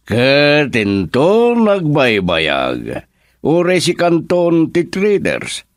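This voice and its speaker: A man's voice: fairly high pitch, huge pitch swings, extremely slow, dry recording, studio quality, exaggerated voice. An actor playing an older, encouraging businessman boss in an Ilocano radio drama. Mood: persuasive.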